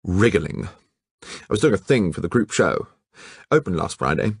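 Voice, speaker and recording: A man voicing a blunt, gruff woman painter who speaks curtly and harshly. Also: sits low, sing-song, moderate pace, dry recording, voice pushed up, full character voice. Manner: curt and harsh.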